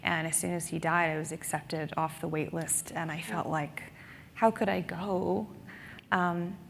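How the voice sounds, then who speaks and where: Low flat gravelly woman, slow reflective panel talk, echoey hall. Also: slight background noise. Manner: reflective, halting with fillers. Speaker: panelist writer. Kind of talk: panel discussion. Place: conference stage.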